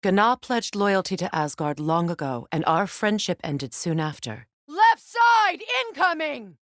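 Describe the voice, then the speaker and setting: An actress playing a composed warrior goddess in a video game, speaking in an earnest, formal manner. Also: female voice, mid-range voice, big pitch swings, unhurried, fairly bright tone, no echo, clean studio sound. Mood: urgent battle shouts. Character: grave, composed warrior goddess, female companion in battle. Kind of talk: dramatic video game dialogue.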